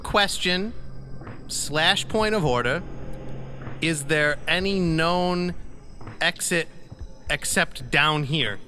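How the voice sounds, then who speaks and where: A man's voice: fairly high pitch, takes it slowly, long pauses, pushed and bright, slight background noise. A man at a tabletop game, talking casually with a wry, questioning manner.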